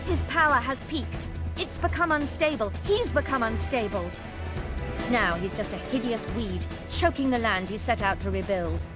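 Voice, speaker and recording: An actress playing a grave, secretive former mistress of evil in a video game, stern and earnest. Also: female voice, fairly high pitch, expressive, moderate pace, lightly acted. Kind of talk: video game character acting. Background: music.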